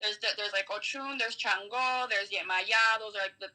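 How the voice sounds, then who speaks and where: A woman with a strikingly bright, sharp-edged voice at a middling pitch. She talks casually as a guest giving spiritual guidance, loosely phrased and sprinkled with swear words. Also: steady tone, normal speed. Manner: informal, swearing, frequent "like". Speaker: podcast guest giving a tarot reading. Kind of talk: casual conversational spiritual advice.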